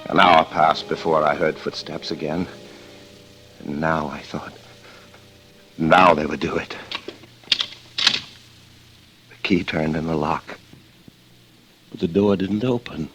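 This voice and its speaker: A haunted killer in hiding, narrating a vintage radio thriller in a low voice. He speaks theatrically, haltingly, repeating himself in dread, over dramatic music. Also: steady tone, a bit bright. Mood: grim dread. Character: desperate man hiding out, haunted killer fearing his wife. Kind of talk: 1940s radio drama acting, first-person suspense narration. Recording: old radio recording with organ music.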